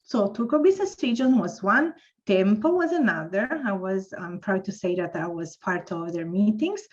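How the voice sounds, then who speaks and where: A woman's voice: medium pitch, expressive, moderate pace, a little mellow. A woman with an Italian accent speaks thoughtfully, stopping now and then to find the right words as she tells her story. Her voice sits in an ordinary middle range. The audio is muffled and lo-fi. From Italy. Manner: reflective, searching for words. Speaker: panel speaker. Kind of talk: telling her career story. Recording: dull lo-fi audio.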